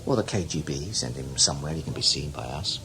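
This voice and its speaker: An actor playing a seasoned, cynical older British spy in a radio drama, talking in a wry, knowing, emphatic way. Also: male voice, rumbling low, expressive, quick pace, gravelly, background noise, exaggerated voice. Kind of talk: radio drama acting, conversational.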